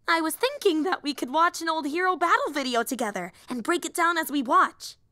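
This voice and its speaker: A high-pitched, excitable young superhero played by a woman for an anime-style audio drama. She is a nerdy, earnest rambler who gets flustered, apologizes and cuts herself off. The recording is dry and close, with no room sound. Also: no echo. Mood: flustered, shy. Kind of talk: roleplay audio drama acting.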